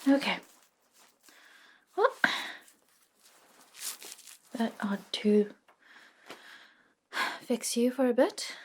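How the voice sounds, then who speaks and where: Slow, soft-spoken friendly witch played by a woman. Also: on the high side. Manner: soft, gentle, hesitant and informal. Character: friendly, reluctant modern witch, soothing helper. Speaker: ASMR roleplay performer. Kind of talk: soft-spoken ASMR roleplay with whispering.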